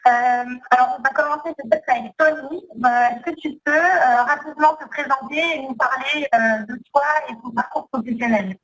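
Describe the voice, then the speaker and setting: A woman's voice: high-pitched, moderate pace, few pauses, poor audio. A woman moderating a panel informally, putting questions to guests in hesitant, unscripted phrases.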